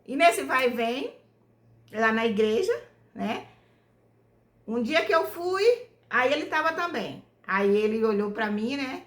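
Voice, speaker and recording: A woman from Bahia, telling her family story to her channel in a loose, homespun way. She keeps checking in with "né?" as she goes. Her voice sits a little high, and the room around her echoes. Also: expressive, measured pace, long pauses. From Bahia, Brazil. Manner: homespun, rambling, tags nearly every phrase with "né?". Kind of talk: casual storytelling to viewers.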